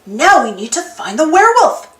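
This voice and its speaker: A woman's voice: sits high, very animated, normal speed, a bit bright, slight background noise. A woman voicing an eager, polite young assistant to a mad scientist in a children's storybook, cheerfully exclaiming. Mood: eager, friendly. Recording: roomy echo with music behind.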